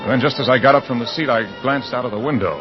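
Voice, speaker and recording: A man's voice: mid-range voice, fast, natural voice. Man acting a bewildered, brooding young American amnesiac, suspense radio narrator, lo-fi vintage sound, music underneath. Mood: brooding, uneasy. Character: bewildered, anxious amnesiac young male mystery writer. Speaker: lead actor and narrator. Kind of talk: old-time radio drama acting, first-person suspense narration. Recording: dull old radio recording, music behind.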